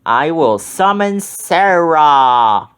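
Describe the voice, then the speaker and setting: A man voicing a scheming male villain in a children's storybook, growling low and slow with menace. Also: sing-song, fairly bright tone, clear, resonant tone, full character voice. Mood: commanding.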